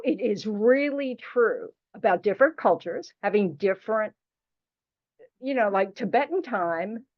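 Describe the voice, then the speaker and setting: Chatty woman teacher, dull recording. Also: medium pitch. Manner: chatty, frank, teasing, full of "you know". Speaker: Buddhist teacher. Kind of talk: casual dharma teaching to a class.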